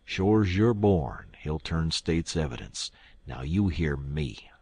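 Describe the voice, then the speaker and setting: A man acts out a brutal river gangster for an audiobook. His voice is deep, raspy and close, with dry sound. He drawls in folksy rustic dialect, gruff and sullen. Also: a little slow, dry recording. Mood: grimly menacing. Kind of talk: audiobook character acting.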